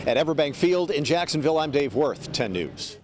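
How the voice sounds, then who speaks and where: A man's voice: on the high side, lively intonation, a little fast, background noise. A man delivering a scripted TV sports report as a field reporter. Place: on location at a stadium.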